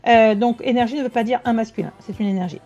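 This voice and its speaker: A woman's voice: on the high side, dark tone. Blunt, slangy woman medium. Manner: blunt, slangy, rapid asides to the audience. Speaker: tarot and psychic medium. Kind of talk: casual channeled reading to viewers. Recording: dull lo-fi sound with faint music.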